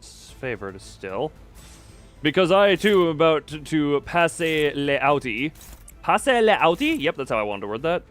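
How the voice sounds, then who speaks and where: Rambling man streaming a game, higher-pitched voice. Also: very animated, a little slow, fairly bright tone. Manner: rambling, thinking aloud, trailing off mid-sentence. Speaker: game livestreamer. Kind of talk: casual livestream gameplay commentary. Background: game music.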